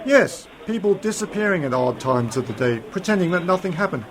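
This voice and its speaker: A man's voice: high-pitched, expressive, brisk, a little hiss or hum. A man playing a mysterious, authoritative time-travelling uncle in an amateur science fiction radio play, pondering aloud with slightly theatrical emphasis. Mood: pondering, suspicious.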